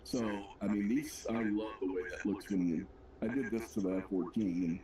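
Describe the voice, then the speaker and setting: A man's voice: lowish voice, fairly even intonation, moderate pace, far from the mic. Man, casual livestream co-host, rambling and self-deprecating, crowd chatter behind. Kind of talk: casual chat while building a model kit.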